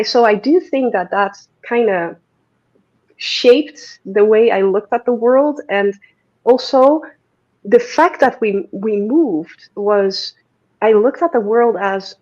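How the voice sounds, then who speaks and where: Dutch-accented woman, rambling and warm. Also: mid-range voice, highs cut off. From Netherlands. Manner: rambling, frequent fillers and restarts. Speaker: guest. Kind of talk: casual interview conversation.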